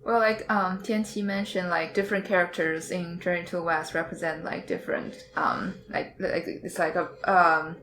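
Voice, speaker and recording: In an echoey room with faint noise behind her, a woman joins a casual podcast discussion. She speaks in a slightly low voice and hesitates often as she searches for words. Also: fairly even intonation, moderate pace, few pauses, fairly bright tone. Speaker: podcast panelist.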